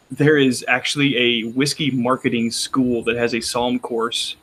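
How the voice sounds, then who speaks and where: A man's voice: mid-range voice, a bit bright, slight background noise, poor audio. A man with a Texan accent chatting casually on a roundtable, rambling with frequent restarts. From Texas. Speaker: roundtable panelist. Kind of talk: casual chat.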